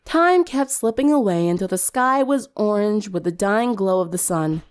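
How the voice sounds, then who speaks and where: A woman's voice: mid-range voice, lively intonation, moderate pace, clear, resonant tone. A woman reading fan fiction aloud as dramatic story narration.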